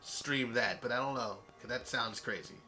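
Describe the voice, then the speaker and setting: A man's voice: mid-range voice, normal speed, music in the background. A man hosting a stream and chatting with his team on a voice call, rambling and correcting himself as he goes. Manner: rambling, with fillers and self-corrections. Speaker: stream host. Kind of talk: casual group chat on a voice call. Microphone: voice chat microphone.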